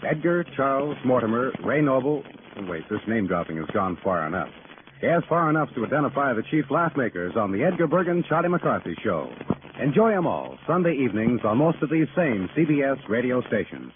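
A man's voice: medium pitch, big pitch swings, fairly quick, noisy background. A man announcing in the style of 1950s network radio. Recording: tinny old radio recording with music.

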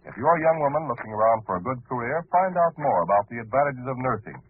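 A man's voice: mid-range voice, big pitch swings, quick pace. A man with an American accent reading scripted announcements as a 1940s radio announcer. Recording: slightly noisy phone-like old radio recording.

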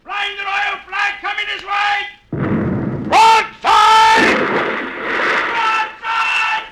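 An actor playing an alarmed, commanding pirate bellowing orders in a classic radio drama. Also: male voice, very high voice, completely flat delivery, very slow, pushed and bright, a little hiss or hum, exaggerated voice, poor audio.

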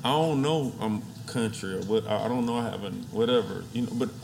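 A man from Atlanta telling a story in a rambling, halting way. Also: lowish voice, gravelly, noisy background. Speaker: guest.

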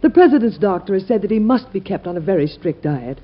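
An actress playing a gracious, fussing president's wife and mother with a polite, gently wry manner in old radio drama. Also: medium pitch, expressive, quick pace, lightly acted. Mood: polite, concerned. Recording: muffled old radio recording.

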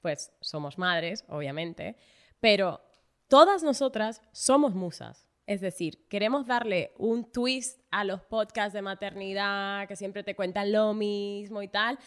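A woman's voice: mid-range voice, a little slow, fairly bright tone, smooth. A woman from the Republic, co-hosting a casual podcast chat and talking with enthusiasm, often restarting her sentences. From Dominican Republic. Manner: enthusiastic, restarts and trails off with fillers. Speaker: podcast co-host.

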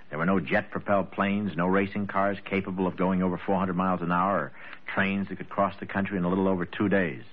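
A dull vintage broadcast sound surrounds an American man with a fairly low voice. He speaks like a radio orator of the 1940s, telling a scripted tale in a folksy, public-address manner.

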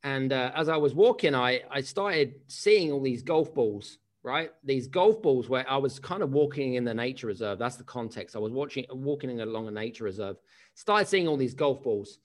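A man's voice: mid-range voice, animated, normal speed. A man, giving a chatty motivational keynote with many restarts and questions to the audience. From England. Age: 30s. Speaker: keynote speaker.

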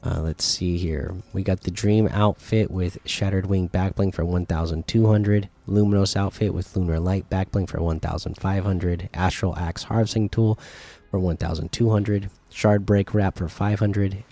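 Man losing his voice, low and mellow. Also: moderate pace. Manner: reads lists in an even, plain way with frequent uhs. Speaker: podcast host. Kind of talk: casual solo gaming podcast.

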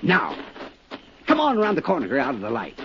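An actor in a 1950s radio drama playing an excitable, giggly man who talks eagerly and insistently. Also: medium pitch, brisk. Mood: teasing, excited. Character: excitable giggling man showing off a novelty necktie. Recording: dull, noisy 1950s radio recording.

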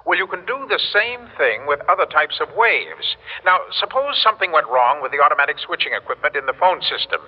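Through a dull, reverberant old radio recording comes a desperate man from the future. His pitch rides on the high side and swoops about as he stammers and pleads. It is urgent vintage radio drama. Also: big pitch swings, a little fast, hardly any pauses, slightly rough. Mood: earnest explaining. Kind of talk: classic radio drama acting.

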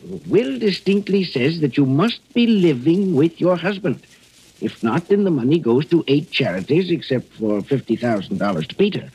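An actor playing an officious, callous estate lawyer in a 1940s radio drama, husky and pressing, on an old recording. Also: male voice, fairly high pitch, lively intonation, normal speed, darkish tone, full character voice, rough recording. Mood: insistent. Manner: pressing, formal.

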